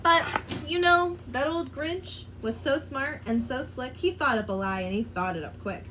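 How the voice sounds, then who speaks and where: A woman reading a children's rhyming book aloud, in an expressive, sing-song delivery. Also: high-pitched, moderate pace, slight background noise. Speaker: storyteller.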